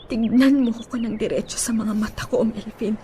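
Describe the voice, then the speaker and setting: A woman's voice: on the high side, steady tone, moderate pace, warm tone, slight background noise, close to an everyday voice. A woman acting a heartbroken young woman in a radio drama, pleading tearfully and desperately with her ex-lover. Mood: desperate, hurt. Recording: music under the voice.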